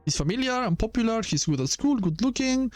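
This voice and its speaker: A man reading the parts of gossipy, suspicious high school students in a horror game, conversationally, with questioning lilts. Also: expressive, fairly quick, darkish tone, no echo, soft background music. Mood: puzzled, suspicious.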